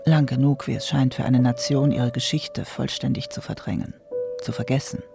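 A man's voice: medium pitch, fairly even intonation, normal speed, creaky, music in the background. A man performing reflective, literary narration as a melancholy ghostly figure in a radio play. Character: ghostly narrator gathering lost memories, melancholy.

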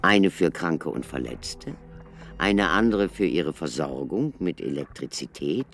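An actor playing an earnest, cautious male researcher in a German radio play, explaining things in a measured, emphatic way. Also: rumbling low, expressive, a bit bright, full character voice. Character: deep-voiced scholarly male ruin researcher, earnest and cautious.

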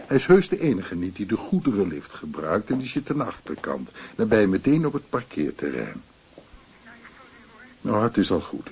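A man's voice: on the low side, a little hiss or hum. An actor playing a chatty, teasing night porter at an office switchboard in a radio play. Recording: muffled radio play recording.

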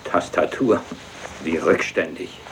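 A man's voice: sits low, takes it slowly, fairly bright tone, a little hiss or hum, poor audio. An actor playing an eager, eccentric male professor in a film dub. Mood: puzzled, condescending.

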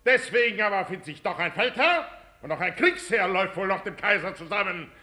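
An actor playing a proud, bitter, imperious general in a declamatory 1950s radio drama. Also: male voice, sits high, lively intonation, a little fast, bright, forceful tone, full character voice. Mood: grave resolve. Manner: declamatory, theatrical stage delivery. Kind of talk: 1950s German radio play acting. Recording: crowd noise behind, 1950s radio recording.

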